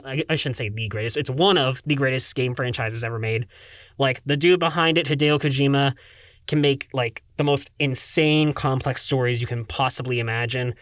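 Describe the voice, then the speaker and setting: A man's voice: mid-range voice, fairly bright tone, no echo, studio quality, close to an everyday voice. A man playing a chatty young radio talk show host, rambling casually and conversationally.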